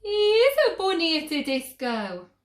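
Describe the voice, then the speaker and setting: Woman putting on shrill, scandalized storybook bunnies. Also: big pitch swings, measured pace, roomy sound, exaggerated voice. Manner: exclaiming, indignant. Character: crowd of scandalized bunnies, loudly disapproving.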